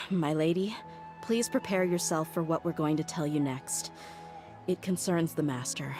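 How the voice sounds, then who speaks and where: Low-pitched loyal mercenary woman, composed and plain-spoken, game dialogue with clear music underneath. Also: measured pace. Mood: uneasy, hesitant. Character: loyal, frank young female mercenary bodyguard. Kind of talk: video game voice acting. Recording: game music playing behind the voice.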